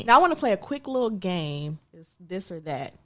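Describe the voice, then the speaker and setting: A woman's voice: mid-range voice, very animated, brisk, a bit bright. A woman hosting a casual interview, upbeat and encouraging, with frequent affirming backchannels. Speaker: host. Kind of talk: casual interview, quiz game.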